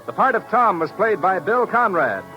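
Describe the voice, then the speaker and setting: Male announcer, high voice, formal old-time radio delivery over music, dull vintage sound. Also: very animated, normal speed. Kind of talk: formal 1950s radio announcing, scripted credits.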